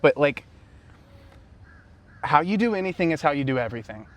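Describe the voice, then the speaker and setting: A man hosting a podcast, speaking earnestly and warmly complimenting his guest in a casual interview. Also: fairly high pitch, animated, a little fast. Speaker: podcast host.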